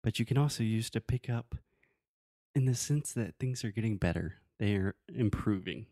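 A man co-hosting a podcast, teaching English phrases in a relaxed, teasing way, with patient examples. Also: on the low side, normal speed, no echo, clean studio sound. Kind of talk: casual teaching chat.

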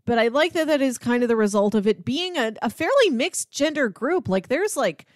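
A woman's voice: a little high, very animated, moderate pace, dry recording, studio quality. A woman chatting casually and at length as a podcast co-host about films, peppering her talk with "like". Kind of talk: casual film discussion chat.

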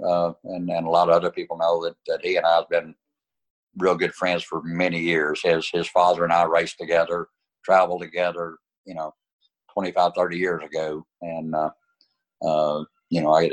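A man's voice: sits low, low-quality recording. An older man, a racing champion guest, answering interview questions in a folksy way, dropping "you know" often. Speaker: guest racer.

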